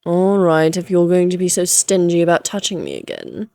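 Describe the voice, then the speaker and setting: A woman's voice: lowish voice, wildly swooping pitch, moderate pace, warm tone, no echo, studio quality. A woman playing a playful, flirtatious immortal vampire in a Victorian fantasy audio roleplay, teasing in genteel, archaic phrasing.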